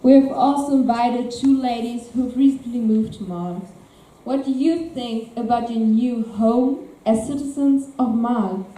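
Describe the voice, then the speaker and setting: A woman's voice: fairly high pitch, unhurried, mellow, lightly acted. A woman playing a government official who addresses an audience formally in an amateur stage performance. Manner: formal, speech-like. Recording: echoey room from far off, faint noise.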